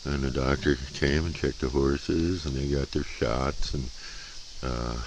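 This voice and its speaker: A man, chatting casually and folksily as a CB radio hobbyist, with rambling, hesitant pauses. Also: extremely low pitch, fairly even intonation, noisy background. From United States. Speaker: amateur CB radio operator and vlogger. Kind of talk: casual vlog chat and radio contact calls.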